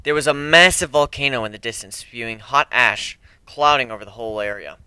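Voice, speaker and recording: Bright-voiced man reading a story dramatically. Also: mid-range voice, fairly quick, clear, resonant tone, no room sound. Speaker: amateur story narrator.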